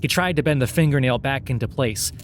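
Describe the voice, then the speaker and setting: A man's voice: mid-range voice, fairly quick, a little hiss or hum. A man narrating scripted satirical fiction in a sardonic, mock-earnest storytelling manner.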